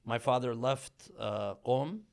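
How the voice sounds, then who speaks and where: A man in his thirties, born in Iran, a religious scholar speaking as a guest in a relaxed interview with a measured, thoughtful manner. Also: medium pitch, gravelly. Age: 30s. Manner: measured, thoughtful storytelling with fillers. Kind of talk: conversational interview.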